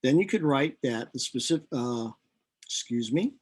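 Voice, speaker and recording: A man giving an informal, deliberate lecture as a chemistry teacher, working through equations step by step. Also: mid-range voice, lively intonation, husky.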